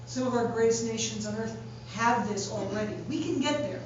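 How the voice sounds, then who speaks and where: A woman's voice: on the high side, darkish tone. Woman speaking from afar in echoey hall. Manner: measured, plain-spoken, repetitive for emphasis. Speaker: political candidate. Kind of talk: candidate forum speech. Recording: echoey hall, distant mic, dull sound, background noise.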